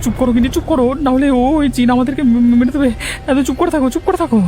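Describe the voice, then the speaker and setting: A man voicing an excitable, easily frightened village husband in a cartoon, fussy and hurried. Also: very high voice, faint music behind. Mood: panicked. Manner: fussy, hurried, stammers when scared. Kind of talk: cartoon voice acting.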